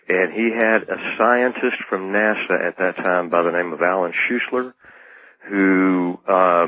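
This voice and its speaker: A mature man calling in to a radio show, speaking earnestly and somewhat formally with halting phrasing, over a band-limited phone line. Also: lowish voice, no echo. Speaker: radio call-in caller. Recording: dull band-limited phone call, dry.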